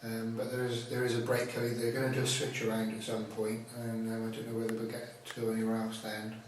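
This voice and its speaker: A man talking calmly and hesitantly, with many pauses, while ghost hunting in a large building at night. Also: mid-range voice, far from the mic. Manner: calm, hesitant, many ums. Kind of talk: casual on-location chat. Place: large echoey building, a former jail, at night. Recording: very echoey, from off-mic, faint noise.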